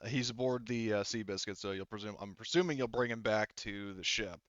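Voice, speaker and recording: A man's voice: lowish voice, fairly quick, fairly bright tone, no room sound. Male game master, casual roleplay narration, meandering delivery, muffled lo-fi sound.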